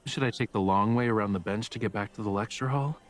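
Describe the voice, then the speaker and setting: A man's voice: fairly low pitch, fast, faint music behind, lightly acted. An actor playing a young male love interest in a romance game, playful, casual and wry, with teasing delivery. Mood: relaxed, teasing.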